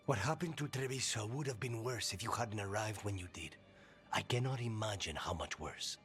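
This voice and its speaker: An actor playing a grave, courteous assassin in a fantasy video game, speaking solemnly and formally. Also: male voice, on the low side, moderate pace, fairly bright tone, faint music behind, lightly acted. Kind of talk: video game dialogue acting.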